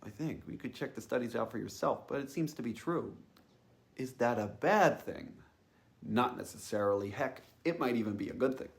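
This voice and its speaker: Creaky-voiced man musing aloud. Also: medium pitch, expressive, normal speed. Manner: musing, tentative, thinking aloud. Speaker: podcast host. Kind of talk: reflective personal monologue to listeners.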